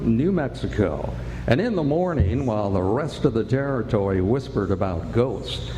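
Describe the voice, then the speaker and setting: A man's voice: medium pitch, dark tone. A man narrating a western radio drama as a storyteller. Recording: echoey live hall, faint noise.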